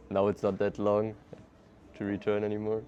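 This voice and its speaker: Young german dude chatting outside, kinda low voice, laid back, slight street noise. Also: male voice, unhurried, lo-fi sound. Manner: relaxed, laughs often, loose non-native phrasing. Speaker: interviewee, traveler. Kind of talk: casual street interview. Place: outdoors in a city.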